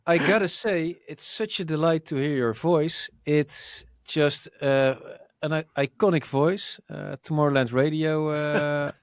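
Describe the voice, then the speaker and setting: A young man co-hosting a podcast over a video call, joking around and speaking haltingly with fillers. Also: on the high side, steady tone, clean tone, dry recording, studio quality. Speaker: podcast co-host. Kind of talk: casual podcast chat. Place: home studio, indoors. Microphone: studio mic, video call.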